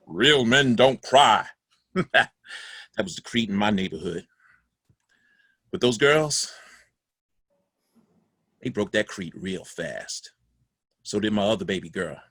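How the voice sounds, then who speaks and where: A man's voice: lowish voice, pushed and bright, breathy edge, dry recording, clean studio sound, full character voice. An actor playing a dead father's ghost, a folksy, doting, guilt-ridden man telling his story in a confiding, emphatic way in a play reading. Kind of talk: theatrical monologue in a stage play reading.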